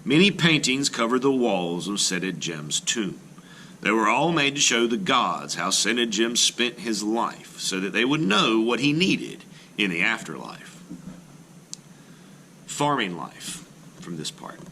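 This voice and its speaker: A man reading an art lesson aloud as a teacher, deliberate and emphatic, pausing between phrases and explaining as he goes. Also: lowish voice, sing-song, sharp tone, slight background noise. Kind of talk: read-aloud lesson narration with brief explanatory asides.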